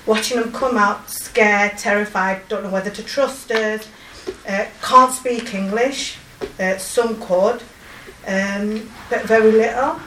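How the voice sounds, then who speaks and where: A woman in her forties with a strong Yorkshire accent, telling of her volunteer work in a halting, emotional way with many pauses and fillers. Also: mid-range voice, fairly even intonation, a bit bright, roomy sound, far from the mic, a little hiss or hum, rough recording. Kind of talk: personal testimony.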